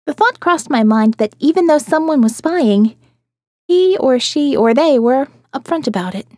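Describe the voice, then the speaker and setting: A woman's voice: high voice, expressive, normal speed, darkish tone, no echo, studio quality. A woman narrating first-person audio fiction in a wry, sardonic manner.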